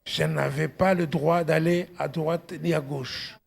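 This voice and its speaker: A middle-aged man preaching as a pastor, emphatic and exhortative, often calling out to his congregation, with a husky, gravelly voice. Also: on the high side, fairly even intonation, measured pace. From outside Belgium, arrived at eighteen. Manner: emphatic, punctuated with repeated exclamations and questions to congregation. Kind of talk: exhortative sermon.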